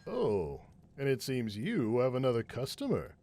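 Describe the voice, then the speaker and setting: Fantasy audio drama actor as male adventurer: mid-pitched, swooping delivery, carefree, bluff, formally polite. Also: huge pitch swings, moderate pace, dark tone. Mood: courteous.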